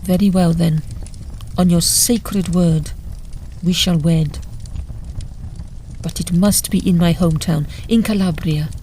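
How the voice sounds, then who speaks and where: A woman acting a secretive, imperious Italian marchesa in an audio drama, speaking in a measured, formal way. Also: on the low side, fairly even intonation, mellow, a little hiss or hum. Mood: coolly firm.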